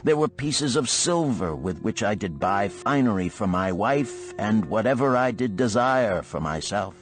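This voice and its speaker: A man's voice: lowish voice, expressive, a little slow, soft background music, voice pushed up. Man acting a gloomy, poor ancient craftsman. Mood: wistful. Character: gloomy, troubled, poor male chariot builder. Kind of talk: audiobook character voice.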